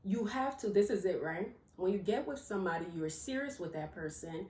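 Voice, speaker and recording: A woman's voice: medium pitch, a little fast. Laughing, chatty woman in an echoey room. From Liberia. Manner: animated, laughs often, repeats words when starting sentences. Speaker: co-host. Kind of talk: casual chat.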